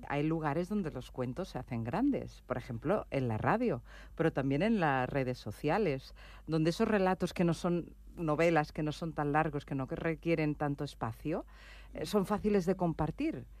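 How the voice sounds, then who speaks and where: A woman interviewing a writer, asking scripted questions in a measured, composed presenter manner. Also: deep voice, animated, darkish tone, dry recording. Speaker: radio interviewer.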